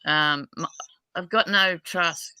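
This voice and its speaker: Bright, clear woman with broad Australian accent. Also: fairly low pitch, slow pace, no echo. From New South Wales, Australia. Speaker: guest dowser. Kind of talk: casual chat.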